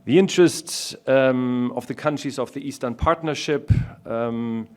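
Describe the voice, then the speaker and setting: A man from Germany, speaking as a panelist in a public discussion and making his points one by one in a measured way. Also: mid-range voice, clean tone.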